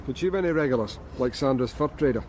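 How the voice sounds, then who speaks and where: An actor playing a police detective in a radio drama, calm and dry as he questions a witness. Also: medium pitch, fast talker, slight background noise, close to an everyday voice. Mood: probing. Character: Scottish police detective, patient and probing. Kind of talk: BBC radio drama acting.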